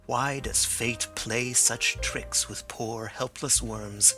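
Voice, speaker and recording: Reader as a grave male detective, measured, gravelly and bright. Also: mid-range voice, steady tone, soft background music, heavily acted. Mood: solemn.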